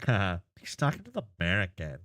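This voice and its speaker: A man's voice: deep voice, wildly swooping pitch, a little fast, fairly bright tone, heavily acted. A man playing an earnest, goofy, chatty boy full of silly opinions, rambling playfully in an amateur comic dub. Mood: amused.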